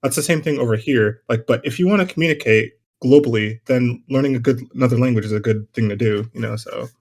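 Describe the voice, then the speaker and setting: Man, voice-chat participant, quick pace, earnest explainer. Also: mid-range voice. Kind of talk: casual voice chat.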